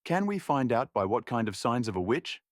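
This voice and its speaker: A man's voice: mid-range voice, big pitch swings, moderate pace, no room sound, clean studio sound, voice pushed up, close to an everyday voice. A man voicing a curious, gossipy young shepherd in a storybook tale. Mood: curious, coaxing. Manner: inquisitive, gossipy. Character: curious young male shepherd, eager about witch gossip.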